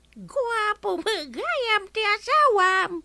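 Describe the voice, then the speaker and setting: A shrill, piercing old aunt in a radio comedy, drawling slowly and sounding bright and pointed. She is blunt and suspicious, and her teasing lands hard. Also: big pitch swings, no room sound, heavily acted. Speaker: visiting aunt.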